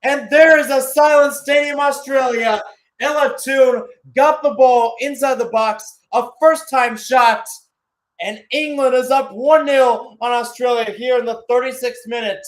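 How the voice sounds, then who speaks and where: A man's voice: very high voice, steady tone, unhurried, rough recording. A man doing live radio-style soccer commentary as the play-by-play announcer, narrating in short clipped phrases.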